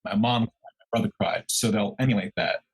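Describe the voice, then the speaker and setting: A man co-hosting a true crime podcast, talking casually in a halting, musing way as he thinks out loud. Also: fairly low pitch, fairly even intonation, breathy edge, rough recording. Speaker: podcast co-host.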